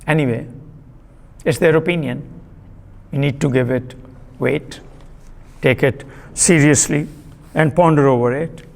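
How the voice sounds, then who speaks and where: A man giving a classroom lecture on literary theory, deliberate and repetitive, pausing with fillers. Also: a little high, creaky, long reverb tail. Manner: deliberate, repeats key terms, frequent uh fillers.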